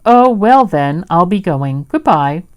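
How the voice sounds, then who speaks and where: A woman's voice: on the low side, animated, takes it slowly, a little mellow. A woman voicing a naive, polite, greedy male bear from a children's storybook, earnest, hesitant and easily flustered. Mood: hopeful, hesitant. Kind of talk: children's storybook read-aloud.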